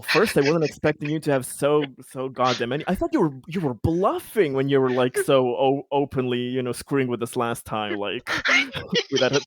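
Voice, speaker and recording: A man chatting casually after a tabletop game, excitable, stammering and swearing freely. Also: mid-range voice. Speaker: tabletop roleplaying game player. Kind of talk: casual post-game chat.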